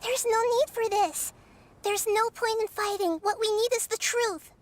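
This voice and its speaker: A woman voicing a young child goddess who speaks softly and earnestly, pleading for calm. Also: very high-pitched, unhurried.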